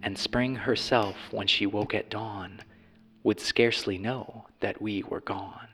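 A man voicing the polite automated voice of a house in an audio drama, reciting in a formal, measured, courteous way. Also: lowish voice, steady tone, fairly bright tone. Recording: music under the voice.

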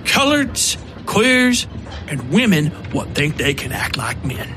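A man's voice: on the high side, sing-song, unhurried, music in the background, voice pushed up. A man voicing a cruel, scheming gunman with coarse, emphatic rustic speech in an audio horror story. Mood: bitter, persuasive.

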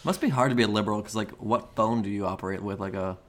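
A man's voice: lowish voice, fast talker. A man co-hosting a comedy podcast, chatting casually with dry, teasing humour. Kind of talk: casual chat.

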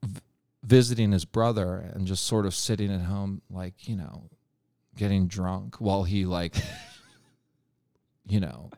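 A man in his thirties from the Cincinnati area, chatting casually as a guest, blunt and swearing freely with a deadpan edge. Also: low-pitched, a little slow, no echo, studio quality. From Cincinnati area, USA. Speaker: podcast guest, musician. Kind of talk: casual chat.

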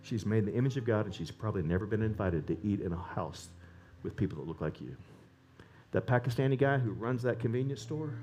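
A man preaching a sermon, animated and rising to emphatic peaks. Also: mid-range voice.

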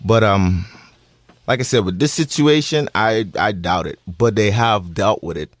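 Bahamian man, thirties, low voice, halting earnest speech, dry sound. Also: no room sound. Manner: hesitant, many pauses and restarts. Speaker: interviewee, parent. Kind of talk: earnest interview answers.

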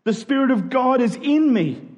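A man's voice: very high-pitched, lively intonation, moderate pace, muffled recording. A man preaching a sermon to a congregation in an emphatic style, using rhetorical questions and repetition.

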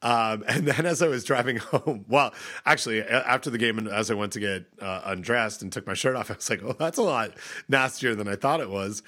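A man's voice: mid-range voice, fairly quick, pushed and bright. A man in his thirties co-hosting a podcast, stuffed up from a cold, rambling with lots of restarts. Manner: rambling, hesitant, many restarts. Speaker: podcast co-host. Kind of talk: casual chat.

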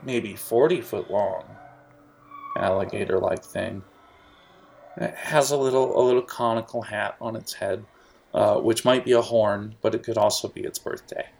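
A man's voice: on the low side, moderate pace, vocal fry, darkish tone, slight background noise. A young man narrating a tabletop game as game master, rambling and jokey, with many fillers.

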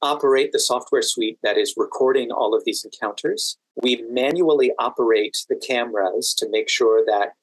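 A man's voice: mid-range voice, normal speed, hardly any pauses, dry recording, poor audio. Articulate man explaining, dry close sound. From Washington, D.C., United States. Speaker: podcast guest, exam event manager and educator. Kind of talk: conversational interview explanation.

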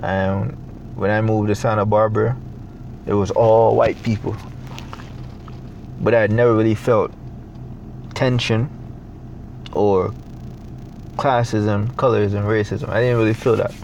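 Jamaican man, young adult, reflective and halting, gravelly low voice, faint background hiss. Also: flat delivery, darkish tone. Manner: reflective, halting, many fillers. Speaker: photographer, interview guest. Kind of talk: casual interview.

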